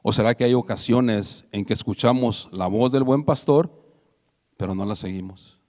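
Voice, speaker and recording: A man's voice: lowish voice, moderate pace, a little mellow. A man preaching a conversational sermon to his congregation, asking them rhetorical questions. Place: church hall. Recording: reverberant church hall.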